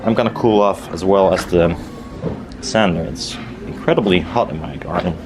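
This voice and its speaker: Deep voiced guy mumbling thru a diy job outside, kinda hesitant, lots of background noise. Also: normal speed. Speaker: DIY maker filming a build video. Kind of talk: casual workshop chat, thinking aloud while working. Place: outdoors in a garden. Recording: noisy outdoor garden recording.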